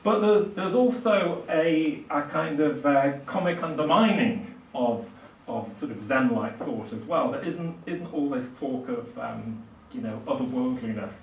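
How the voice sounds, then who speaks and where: A man's voice: medium pitch, lively intonation, gravelly. Older man lecturing from across a roomy space. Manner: hesitant, halting delivery with reflective pauses. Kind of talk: public lecture on literature and music. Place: small indoor lecture room, at a lectern. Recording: roomy, distant microphone, faint hiss.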